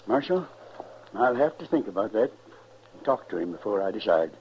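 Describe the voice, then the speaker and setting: A man's voice: fairly low pitch, very animated, normal speed, a little mellow. An actor playing a middle-aged, devout sheepherder father in a radio western, with folksy rural Western American speech. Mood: stubborn, solemn. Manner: folksy, drawling country speech. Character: stubborn, protective father, a devout frontier sheepherder. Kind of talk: old-time radio western acting. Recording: muffled, noisy vintage radio recording.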